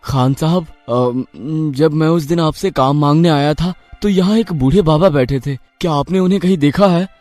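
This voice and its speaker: An actor playing a poor, kind-hearted village husband with earnest, expressive delivery in a moral cartoon. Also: male voice, a little high, normal speed, few pauses, no room sound, soft background music, natural voice. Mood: puzzled. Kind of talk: Hindi moral cartoon dubbing.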